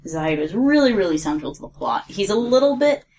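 A woman's voice: mid-range voice, sing-song, fairly quick, a little mellow. A woman, co-creator of an audio drama, chatting casually on a podcast, rambling and correcting herself as she talks and laughing often.

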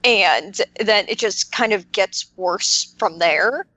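A woman's voice: mid-range voice, slow, bright, forceful tone, dry recording, poor audio. A woman chatting casually as a podcast panelist, telling things in a hesitant, filler-heavy way. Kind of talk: casual roundtable chat.

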